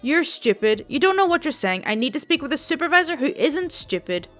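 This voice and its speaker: A woman voicing a rude elderly woman caller with a smoker's voice who loudly insults and demands a supervisor. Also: sits high, big pitch swings, brisk, soft background music, pitched above the performer's own voice. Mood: angry, insulting. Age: older.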